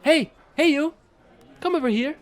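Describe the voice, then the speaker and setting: A man playing a chatty, excitable fallen alien angel who trades in space, gushing and rambling in an audio roleplay. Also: very high voice, normal speed. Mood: eager, friendly. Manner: bubbly, gushing, rambling, apologetic.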